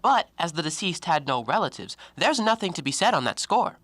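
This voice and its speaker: Audio drama: male actor for a self-righteous, scornful intellectual man; bright voice, precise argumentative diction, very dry close sound. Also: a little high, sing-song, brisk, dry recording. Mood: wary, reasoning. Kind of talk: audio play acting.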